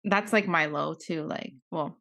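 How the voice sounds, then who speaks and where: A woman's voice: deep voice, measured pace, vocal fry, a bit bright. A woman in her twenties, co-hosting a podcast in casual chat and filling her sentences with "like.". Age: 20s. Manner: chatty, fillers with "like" throughout. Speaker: podcast co-host.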